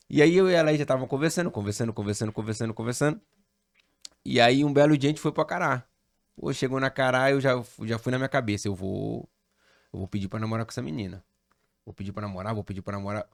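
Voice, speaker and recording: A Rio de man, a pastor, telling how his life unfolded in a relaxed, storytelling manner. He speaks at a mid pitch, and his voice sits close and dry, with little room sound. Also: fast, vocal fry, dry recording. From Rio de Janeiro, Brazil. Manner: animated storyteller, relaxed slang. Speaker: pastor, podcast guest. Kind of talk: casual storytelling chat.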